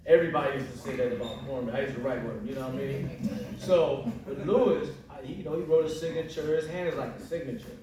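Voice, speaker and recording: Male panelist, slangy and enthusiastic; mid-pitched, distant in a very echoey room. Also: fast talker, noisy background. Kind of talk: casual panel talk. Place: large library event room. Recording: echoey hall from far off.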